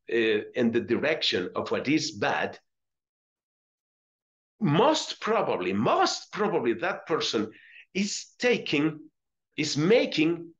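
Slow, expressive Colombian-accented male religious teacher. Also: mid-range voice, highs cut off. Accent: Colombian Spanish. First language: Spanish. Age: middle-aged. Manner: deliberate, emphatic, repeats phrases. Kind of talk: religious lesson, reading aloud and explaining. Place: small indoor room with curtains.

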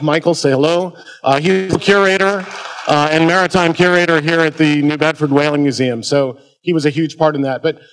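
A man's voice: a little high, poor audio. A middle-aged man from the American Midwest with an American accent, giving a public history lecture to an audience. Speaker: history lecturer, conference presenter. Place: auditorium at a museum, at a podium.

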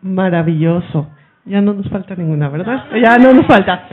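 A woman's voice: mid-range voice, lively intonation, moderate pace. A woman hosting a casual studio interview, full of enthusiastic praise, on a handheld mic. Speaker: host. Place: bright studio room. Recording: phone-like lo-fi web stream.

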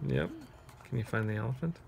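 A man reading a picture book aloud to children, pausing to ask them gentle, encouraging questions. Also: lowish voice, very animated, vocal fry, a little hiss or hum. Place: carpeted room at home, sitting on floor.

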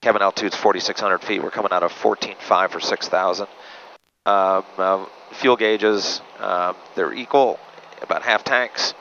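A middle-aged man with a fairly low voice talks as a pilot, explaining procedures and making callouts. He is on an aviation headset, so the sound is muffled, with a light engine drone underneath. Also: fairly even intonation, normal speed, sharp tone, slight background noise. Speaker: turboprop pilot. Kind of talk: explanatory cockpit talk and checklist callouts. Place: small turboprop cockpit in flight. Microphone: aviation headset boom mic.

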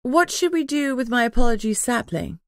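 A woman's voice: a little high, big pitch swings, normal speed, no echo, studio quality, voice pushed up. A woman voicing an earnest, decisive young female alpha werewolf in an audiobook. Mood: brisk, direct. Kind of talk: audiobook character dialogue.

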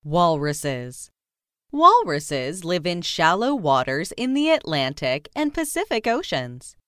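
A woman narrating a children's nonfiction book as a scripted read-aloud, with careful, clearly separated phrasing. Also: fairly low pitch, very animated, takes it slowly, a bit bright, no room sound, clean studio sound.

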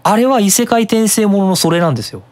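A web radio host giving a casual, explanatory monologue in an animated manner. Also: medium pitch, fast.

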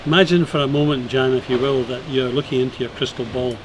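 A man's voice: mid-range voice, steady tone, fast talker. An older man interviewing a guest with formal, polished questions on an outdoor terrace. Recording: outdoor noise behind voice.

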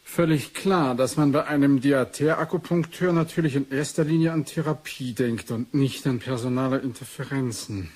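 An actor playing a composed, thoughtful investigator and boss in a German radio play, with a husky voice. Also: male voice, medium pitch, normal speed, few pauses, darkish tone, lightly acted. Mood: musing, composed. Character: male investigator, thoughtful, composed superior to his female assistant.

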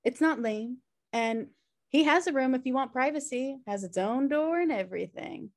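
A woman's voice: high-pitched, normal speed. A woman playing a blunt, sarcastic, slobbish sister in a comedy table read. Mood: defensive. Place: indoor room on a video call. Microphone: video call.

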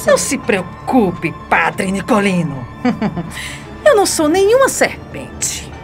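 A woman's voice: medium pitch, wildly swooping pitch, takes it slowly, exaggerated voice. An actress playing a scheming, domineering woman in a Brazilian radio soap opera, mocking and theatrical. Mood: mocking, venomous. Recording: music bed under the voice.

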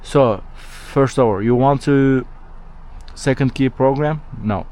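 A man's voice: medium pitch, slow pace, a little mellow. A man from Turkey with a Turkish accent, a locksmith chatting informally with a customer and often repeating himself. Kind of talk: casual chat with a customer. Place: on a job at a customer's vehicle. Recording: noisy on-the-job recording near vehicles.

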